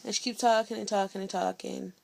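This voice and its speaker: A teenage girl from Indiana telling a story in a blunt, sassy, chatty way, loud-voiced and full of slang. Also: mid-range voice, steady tone, fairly quick, gravelly, dry recording, poor audio. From Indiana, USA. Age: teens. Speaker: vlogger. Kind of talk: casual storytelling vlog.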